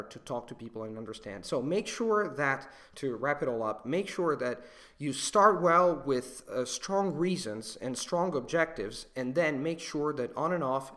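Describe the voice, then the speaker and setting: A young Italian man answering viewer questions in a casual monologue, pausing and restarting often as he thinks aloud. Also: medium pitch, fairly bright tone. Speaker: language learning video host.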